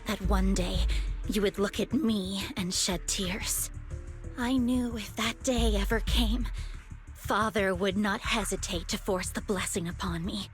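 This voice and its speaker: A woman playing a bitter, grieving young woman in a video game, speaking in a measured, wounded, accusatory way. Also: mid-range voice. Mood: wistful, confessional. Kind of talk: dramatic video game voice acting.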